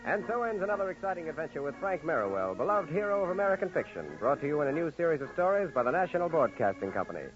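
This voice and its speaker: A man's voice: a little high, very animated, very fast, hardly any pauses. A man reading a scripted sign-off as a 1940s radio announcer. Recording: muffled old radio, loud music behind.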